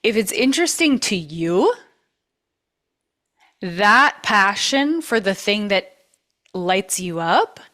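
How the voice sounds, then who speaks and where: A woman with a trained, steady voice leads a masterclass in an easygoing coaching chat. She talks earnestly and drives her points home by repeating and stressing key words. Her pitch sits right in the middle. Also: slow pace, long pauses. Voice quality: vocally trained. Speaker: masterclass host. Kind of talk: casual coaching talk.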